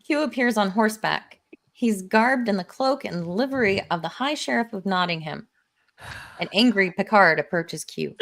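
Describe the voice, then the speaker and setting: A woman's voice: mid-range voice, expressive, moderate pace, creaky, a bit bright. A woman hosting a live script read, narrating the scenes in between casual chat.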